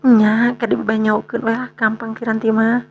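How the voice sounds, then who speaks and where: An actress playing an anxious, complaining middle-aged wife in a radio drama, speaking in a fretful, questioning way on a dull recording. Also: female voice, on the high side, flat delivery, moderate pace, gravelly, slight background noise. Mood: resigned. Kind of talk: radio storytelling drama dialogue.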